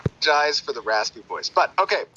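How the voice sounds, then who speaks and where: Raspy-voiced tired man on a stream. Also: a little high, expressive, fast, bright, forceful tone, a little hiss or hum. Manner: rambling, many fillers. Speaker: game developer presenting. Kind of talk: livestream presentation. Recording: dull sound with faint music.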